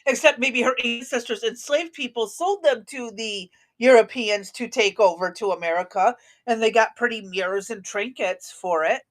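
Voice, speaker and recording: A woman with a fairly high-pitched voice talks casually as a political show host. Her delivery is blunt and combative, and she swears freely. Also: moderate pace, few pauses.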